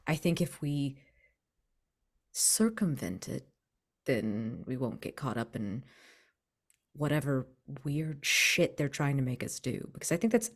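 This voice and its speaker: Gentle, nervously polite young man, played by a woman in a tabletop game; low register, lively swings, hesitant delivery. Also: measured pace, pauses often, full character voice. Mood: wary, resolved. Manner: hesitant, thoughtful, with false starts. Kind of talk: in-character tabletop roleplay.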